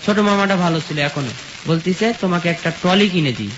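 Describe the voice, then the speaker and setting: A young man from rural Bangladesh recounts his life step by step in plain, colloquial words, quoting what people said. His voice is high for a man, and the recording is dull with a clear sizzling noise behind him. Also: quick pace. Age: young adult. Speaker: guest telling his life story. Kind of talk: personal storytelling in an interview, quoting dialogue.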